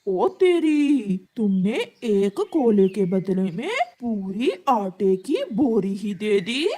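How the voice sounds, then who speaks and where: A woman voicing an exasperated male crow farmer who scolds his wife in a story cartoon, with drawn-out exclamations. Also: medium pitch, expressive, mellow. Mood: dismayed scolding. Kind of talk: Hindi moral-story cartoon dubbing.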